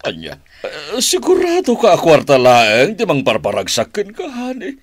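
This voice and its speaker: An actor playing a teasing, gloating husband with a chuckling drawl in a radio drama. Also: male voice, on the high side, wildly swooping pitch, moderate pace, no room sound.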